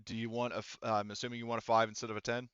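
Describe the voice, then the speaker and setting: A man's voice: mid-range voice, little pitch movement, very fast, a bit bright, clear, resonant tone, no room sound, dull audio. A man running a tabletop role-playing game as game master, casually thinking aloud as he handles the rules.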